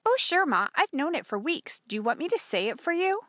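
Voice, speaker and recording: Woman doing a young farm girl for an audiobook, high lively voice, eager n emotional, really earnest. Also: brisk, no echo, studio quality. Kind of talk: audiobook character voice.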